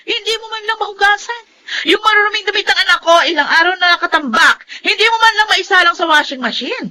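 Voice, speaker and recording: Sharp, high-pitched domineering mother-in-law. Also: quick pace, full character voice. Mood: scolding, contemptuous. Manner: theatrical, exclamatory. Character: nagging, scornful middle-aged mother-in-law, sugary to favored guests. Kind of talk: Filipino radio drama acting.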